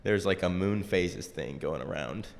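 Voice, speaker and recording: A man in his twenties hosting a podcast, relaxed and quick to laugh, asking questions into a handheld mic. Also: low-pitched, gravelly, in a large hall. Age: 20s. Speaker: podcast host. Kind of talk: casual interview chat. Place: room with dark backdrop, seated in a folding chair.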